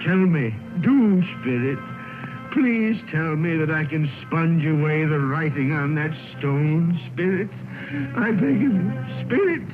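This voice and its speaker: Old man, miser, quavering, pleading, animated, slow, tinny radio recording. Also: a little high, faint music behind. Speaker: lead actor in a radio play. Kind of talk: 1930s radio drama acting.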